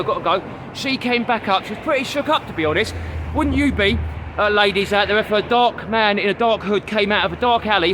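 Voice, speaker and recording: A man with a London accent talking casually to his camera while he walks, addressing viewers as guys, with lots of uh fillers and getting a little out of breath. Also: high-pitched. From London, England. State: walking, gets out of breath. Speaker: vlogger and comedian. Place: outdoors on a busy city street. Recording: street noise and passing vehicles. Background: street traffic.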